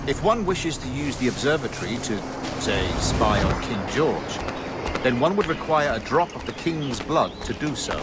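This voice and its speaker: An actor playing a smug, cultivated colonial governor in a video game, with formal, measured period speech. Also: male voice, mid-range voice, very animated, over loud music, close to an everyday voice. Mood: calm, assured. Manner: formal, measured, self-satisfied. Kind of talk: dramatic period game dialogue.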